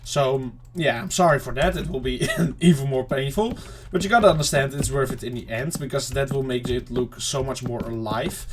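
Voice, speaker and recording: A man giving casual, rambling let's-play commentary to viewers, his throat slightly off and clearing it now and then. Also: mid-range voice, moderate pace, few pauses, a little hiss or hum. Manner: rambling, chatty self-talk to viewers. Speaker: gaming channel host.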